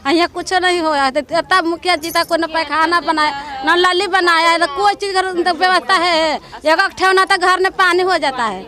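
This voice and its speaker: A woman's voice: extremely high pitch, normal speed, a crowd in the background. A village woman with a rural Bihari accent, voicing complaints in a street interview. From Bihar, India. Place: outdoors in a village settlement. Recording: outdoor street, people talking around.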